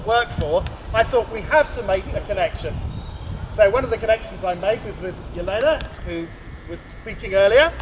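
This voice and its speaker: A man, a priest, giving a chatty, explaining talk to a gathering and laughing at his own jokes. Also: high-pitched. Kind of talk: informal address to a gathering. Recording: noisy outdoor ambience.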